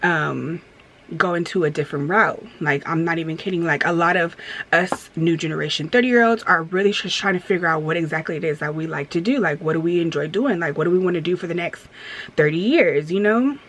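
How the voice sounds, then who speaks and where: A woman's voice: fairly low pitch, fairly quick, a bit bright. A woman in her thirties chatting candidly in a storytime vlog, rambling with many fillers and restarts and turning emphatic as she vents. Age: 30s. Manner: emphatic when venting. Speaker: vlogger. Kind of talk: casual storytime chat while getting ready.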